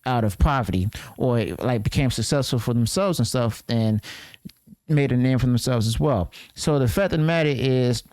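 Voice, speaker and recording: A man's voice: mid-range voice, moderate pace, darkish tone, smooth, dry recording. A man giving casual reaction commentary, leaning on "okay" and "and stuff" as fillers.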